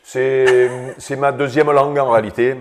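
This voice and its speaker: A man's voice: medium pitch, flat delivery, normal speed, a little hiss or hum. A man, chatting casually in an interview, rambling with lots of hesitant fillers and dry self-mocking humor. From Vaucluse, southern France. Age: 60s. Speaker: guest, former footballer.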